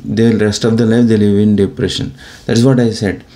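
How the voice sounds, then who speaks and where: Middle-aged Indian-accented vlogger with a dark, deep voice, earnest and rambling, recorded close up on a device camera. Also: male voice, level tone, normal speed. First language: Telugu. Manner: rambling, earnest, gestures with hands. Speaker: YouTuber. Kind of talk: casual talk to camera. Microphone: device camera close up at low angle.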